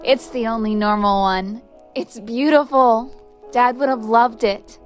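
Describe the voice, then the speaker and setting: Audio drama role: young female survivor, high voice, defiant and desperate, played by a woman, music underneath. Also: steady tone, a little slow, close to an everyday voice. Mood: chirpy, breezy.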